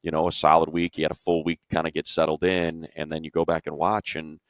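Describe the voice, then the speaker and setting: A man's voice: a bass voice, flat delivery, fast, dry recording. An American man giving casual sports analysis in an emphatic way, repeating himself for stress. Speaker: sports show analyst, play-by-play announcer.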